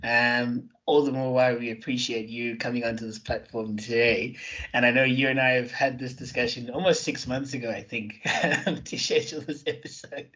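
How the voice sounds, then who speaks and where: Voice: medium pitch, fairly bright tone, lo-fi sound. A podcast host who talks in an effusive way, full of fillers and repeated words. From Johannesburg, South Africa. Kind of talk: interview hosting.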